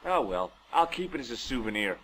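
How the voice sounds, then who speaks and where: A man's voice: medium pitch, very animated, a little fast, a bit bright. A young sarcastic sleuth guy in an adventure game, swingy delivery, noisy capture. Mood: matter-of-fact. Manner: dry, sarcastic. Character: curious wry young man, amateur sleuth. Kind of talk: adventure game voice acting, part narration.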